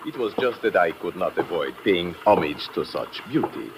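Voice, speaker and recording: Smooth flowery gent, low swooping voice, old radio drama with a bit of noise. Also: male voice, sing-song, normal speed, rough recording. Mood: courtly. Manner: florid, formal, courtly. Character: suave flowery Egyptian gentleman from Cairo, smoothly insinuating. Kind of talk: 1950s radio drama acting. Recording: vintage radio recording, slight noise.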